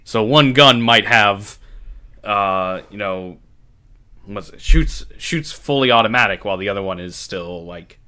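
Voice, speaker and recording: A man's voice: on the low side, measured pace, pushed and bright, clear, resonant tone. A man co-hosting a podcast in casual chat, jokey and rambling with fillers. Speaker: podcast co-host.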